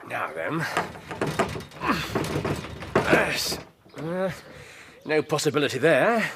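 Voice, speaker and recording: An actor playing a brisk, keen-minded Victorian gentleman detective in a radio drama, with an English accent and clipped, precise, formal speech. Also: a little high, big pitch swings, very noisy, heavily acted. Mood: probing, musing.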